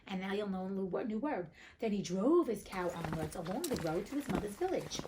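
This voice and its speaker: A woman's voice: medium pitch, sing-song, brisk, noisy background. A woman reading a storybook aloud as host, breaking off for chatty, informal, joking commentary. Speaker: reader and host of a classics read-aloud show.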